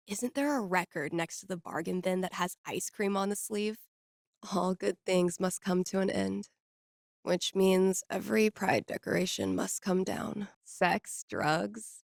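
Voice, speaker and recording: A woman's voice: lowish voice, vocal fry, no echo, clean studio sound. A woman playing a snarky, easygoing young record-store geek in a video game, talking in casual, slangy, sardonic lines. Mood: quiet musing. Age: young adult.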